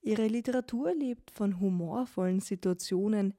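A woman radio reporter narrating a cultural feature in an even, measured way. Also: medium pitch. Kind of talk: scripted narration.

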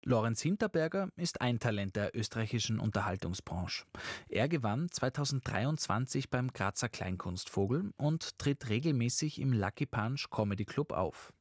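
Guy reading news voice-over, even tone, dead dry studio sound. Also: mid-range voice, normal speed, no echo. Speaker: news reporter. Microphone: studio microphone.

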